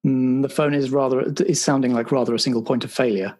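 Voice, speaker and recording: A man's voice: medium pitch, steady tone, fast, darkish tone, natural voice. A man playing an officious spy agency superior in an improvised roleplay, speaking in a hesitant, formal, politely pedantic way. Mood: dry, formal. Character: officious, bureaucratic male spy agency superior running a debriefing. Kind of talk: improvised roleplay audio drama.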